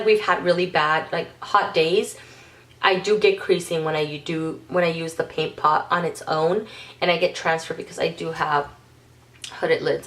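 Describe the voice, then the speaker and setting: A woman with a slightly low voice, stuffed up and under the weather, talks through a casual makeup vlog. She rambles in a chatty way, full of ums and little self-corrections. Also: a bit bright. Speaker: beauty vlogger.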